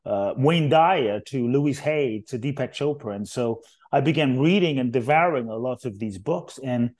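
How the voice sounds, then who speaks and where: A middle-aged man raised in Ghana and London, a guest speaker telling his life story in an earnest, measured way that builds emphasis on key phrases. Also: medium pitch, darkish tone. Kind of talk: reflective storytelling interview.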